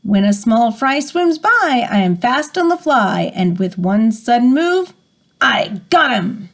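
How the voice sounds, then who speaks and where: Sly flatfish, played by a woman reader, storybook rhyme. Boastful and wary, singsong, lightly high pitch with big swoops. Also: slow pace, full character voice. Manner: singsong rhyming storybook delivery. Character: sly flounder fish, wary yet boastful hunter.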